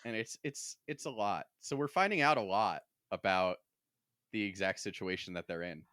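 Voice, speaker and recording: A man with a fairly low voice talks casually as a podcast co-host. He explains things and offers his opinions freely. The recording is dry and free of room echo. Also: moderate pace, a bit bright, smooth.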